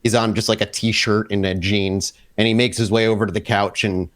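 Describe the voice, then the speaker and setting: A man improvising narration as game master of a tabletop horror game, descriptive and pausing as he builds suspense. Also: lowish voice, flat delivery.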